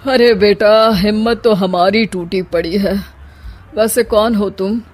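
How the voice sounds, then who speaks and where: A woman's voice: medium pitch, fairly even intonation, slow, darkish tone, faint music behind. A woman voicing a frustrated middle-aged father in a cartoon story, blunt, bitter and complaining. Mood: weary, resigned.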